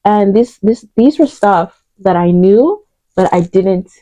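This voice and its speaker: A woman's voice: mid-range voice, lively intonation, slow pace, mellow, no echo, highs cut off. A woman, chatting casually in a vlog about her path into fashion design, with lots of fillers and self-corrections. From Uganda. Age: 20s. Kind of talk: casual vlog storytelling while doing makeup.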